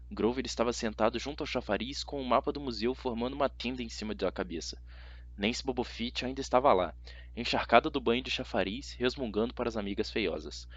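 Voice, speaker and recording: A man's voice: mid-range voice, highs cut off. A man with a Brazilian accent narrating a story dramatically in the first person, as an amateur audiobook reader.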